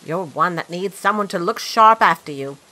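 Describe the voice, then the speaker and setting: A woman voices a stern older housekeeper in an audio drama, sharp and scolding. Her voice is bright and tense, and it leaps up and down as she lays down the law. She sits lower in pitch than most women. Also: expressive, normal speed, low-quality recording.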